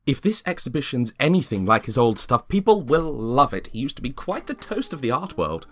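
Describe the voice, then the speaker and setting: A man's voice: mid-range voice, sing-song, fairly quick, dry recording, pitched above the performer's own voice. A man voicing a chirpy, gossipy suburban wife in her thirties in a dramatic script reading, with a gushing, insinuating manner. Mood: chatty.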